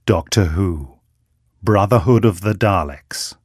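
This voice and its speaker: An actor announcing a trailer as a solemn, scripted voice-over. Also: male voice, sits low, slow, noisy background.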